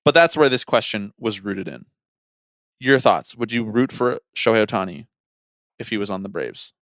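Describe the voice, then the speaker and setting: A man's voice: on the low side, lively intonation, moderate pace, fairly bright tone, no echo, studio quality. A man co-hosting a podcast in casual sports chat, blunt and opinionated, prone to swearing. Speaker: podcast co-host.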